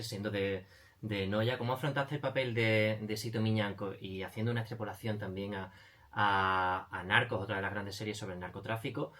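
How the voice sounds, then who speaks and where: A man co-hosting an interview, asking conversational questions in a halting, roundabout way. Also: lowish voice, fairly bright tone. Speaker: interview co-host.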